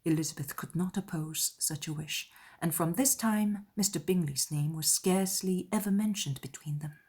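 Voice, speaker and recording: Slightly husky woman narrating an audiobook. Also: fairly low pitch, normal speed, a little mellow. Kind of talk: scripted narration of a classic novel.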